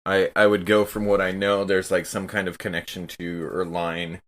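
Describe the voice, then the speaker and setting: A man's voice: deep voice, little pitch movement, normal speed. A man chatting casually as a player in a tabletop roleplaying game, improvising his moves.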